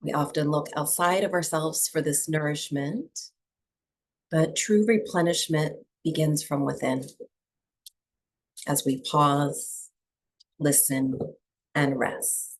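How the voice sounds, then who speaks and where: Female breathwork guide, low register, very slow pace, soft soothing instruction for a small evening class. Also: steady tone, low-quality recording. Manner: soft, unhurried, soothing delivery with long pauses. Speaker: yoga and breathwork teacher.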